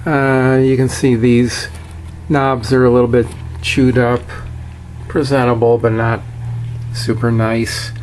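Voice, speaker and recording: A man with a mid-range voice talks at a slow pace with very little rise and fall. He explains things in a plainspoken, matter-of-fact way, as in an informal hands-on product demonstration. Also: mid-range voice, level tone, darkish tone, slight background noise, rough recording. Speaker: seller demonstrating a vintage radio. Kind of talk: casual product walkthrough.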